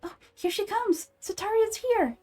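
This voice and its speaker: A woman voicing an excitable, candid little fairy companion from a game, perky and easily flustered. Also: very high voice, measured pace, faint music behind. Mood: excited, nervous.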